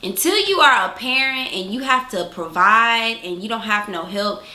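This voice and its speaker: Bright-voiced woman co-hosting a reaction video. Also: mid-range voice, animated, normal speed. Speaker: reaction channel co-host. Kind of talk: casual hype reaction chat. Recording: roomy, faint music behind.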